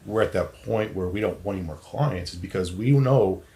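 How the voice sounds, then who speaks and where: Deep-voiced, plain-spoken man. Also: moderate pace, dark tone. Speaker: financial advisor, podcast guest. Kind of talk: conversational podcast interview.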